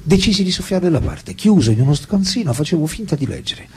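An actor playing a candid, detached French intellectual man recalling his life in a radio drama, with a husky voice and reflective, hesitant delivery. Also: on the high side, big pitch swings, quick pace, darkish tone, exaggerated voice. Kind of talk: radio drama acting, memoir monologue and dialogue.

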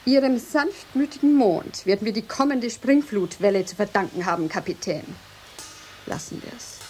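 An actress in a German radio drama playing a haughty, jealous lighthouse mistress, speaking in an imperious, formal and mocking way. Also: female voice, fairly high pitch, moderate pace, a little mellow, slight background noise, lightly acted. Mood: scornful, mocking.

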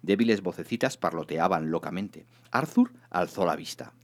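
A male narrator reads a comic science-fiction story from a script. His intonation is animated and moves widely up and down. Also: sits low, normal speed.

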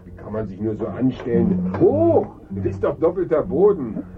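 An actor playing a cocky, sly small-time thief with a Neapolitan Italian accent, teasing and theatrical in manner. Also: male voice, medium pitch, huge pitch swings, moderate pace. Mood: impatient. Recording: dull vintage radio recording, music behind.

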